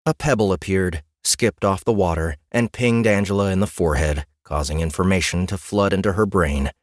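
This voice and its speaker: A man's voice: deep voice, moderate pace, no echo. A man narrating a comic fantasy audiobook with wry, dry humor in a studio.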